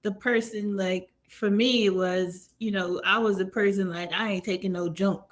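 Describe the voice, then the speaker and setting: A woman's voice: medium pitch, steady tone, moderate pace. A woman hosting a podcast, speaking frankly and conversationally as she shares her own experience. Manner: frank, conversational, agreeing and sharing. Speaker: podcast host. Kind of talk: casual chat.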